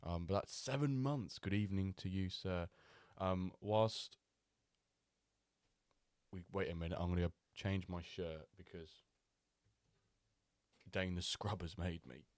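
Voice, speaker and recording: A man hosting a live stream, chatting loosely with many pauses and fillers, still a little nasal from a cold. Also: low-pitched, dry recording. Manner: chatty, rambling, with many ums and restarts. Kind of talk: casual live-stream chat.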